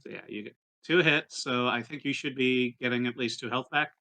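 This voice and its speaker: A man playing a tabletop game, chatting casually and explaining things in a halting, nerdy, technical way. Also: medium pitch, steady tone, sharp tone. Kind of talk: casual chat.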